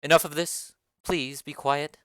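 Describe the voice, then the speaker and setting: A woman voicing a stern, formal noble mother in a dramatic reading of a play, speaking in a lowered, measured voice. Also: fairly even intonation, no room sound.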